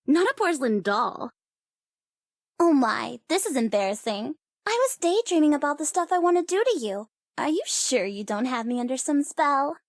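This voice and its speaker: High-pitched, sassy young sorceress. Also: female voice, dry recording, studio quality. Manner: sassy, playful, teasing, theatrical. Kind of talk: video game character voice lines.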